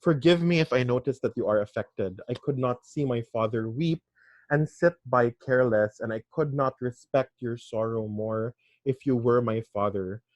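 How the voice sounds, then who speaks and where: A man voicing a self-loathing, weary young English gentleman in a measured, grave reading. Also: medium pitch, dry recording, lightly acted. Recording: dry, slightly dull recording.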